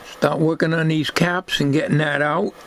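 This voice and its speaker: An older man talking casually as he works at a bench in a home workshop, plain-spoken and musing, with halting delivery. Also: on the high side, level tone, gravelly, a bit bright. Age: 60s or older. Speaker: hobbyist radio restorer. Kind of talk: casual talking while working. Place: cluttered home electronics workshop, indoors. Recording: noisy home workshop recording.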